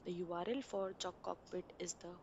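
A woman with a fairly low voice narrates a software tutorial, reading each step in a steady instructional way. She keeps a measured delivery and makes small grammar slips. A faint low rumble sits under her voice. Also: muffled recording. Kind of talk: read screen-recording walkthrough. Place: voice-over for a screen recording.

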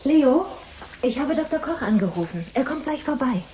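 A concerned partner in a radio play. Her voice is fairly high and mellow, coaxing and worried, over faint noise. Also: lively intonation, normal speed. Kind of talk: radio drama dialogue.

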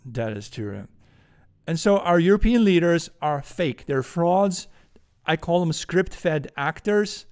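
A man in his forties with a Dutch accent, hosting a political commentary podcast from a room at home on a boom-arm studio mic, ranting indignantly. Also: animated, slow pace, a little mellow. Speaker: podcast host.

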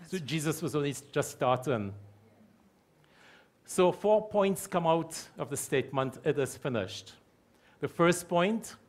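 A middle-aged man preaching a sermon from a church pulpit mic, repeating key phrases slowly for emphasis. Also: a little high, fairly bright tone. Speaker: pastor. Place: church hall with stage curtains.

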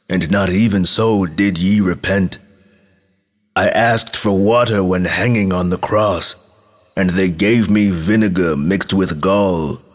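A man's voice: sits low, big-room sound. A man voicing the Son of God as a grave, reproachful divine judge in a scripture reading.

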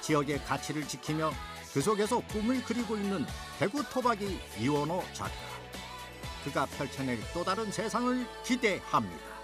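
Lively TV narrator over loud music. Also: mid-range voice, moderate pace. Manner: playful, lively delivery. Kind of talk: scripted narration.

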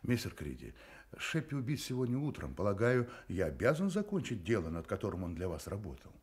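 An actor playing a shrewd, persistent private detective in a radio play. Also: male voice, on the low side, wildly swooping pitch, a little fast, darkish tone, slightly rough, full character voice. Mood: firm, polite. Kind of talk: radio play acting with inner monologue.

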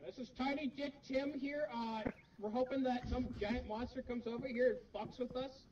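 A man's voice: extremely high pitch, fairly even intonation, moderate pace, a little mellow, muffled recording. A man cracking crude jokes while gaming with friends. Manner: crude jokey remarks. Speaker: friend playing along. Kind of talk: casual gaming chat.

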